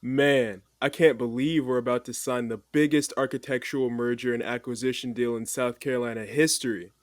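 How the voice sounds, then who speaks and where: An actor playing an upbeat, brash executive who talks in casual slang, in an audio drama. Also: male voice, medium pitch, fairly even intonation, a little fast, no echo, studio quality, natural voice. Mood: excited, teasing.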